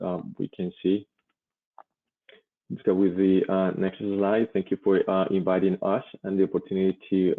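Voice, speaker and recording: A man from Colombia, a native Spanish speaker, presenting slides at a virtual event in a halting way, pausing often. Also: low voice. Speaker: chamber vice president, presenter. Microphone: online video call. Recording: muffled phone-like call audio.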